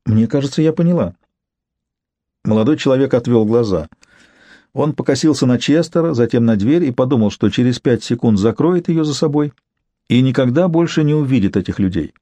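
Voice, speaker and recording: Male audiobook narrator for literary fiction: mid-pitched, mellow tone, close dry sound. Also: lively intonation, fairly quick, no room sound.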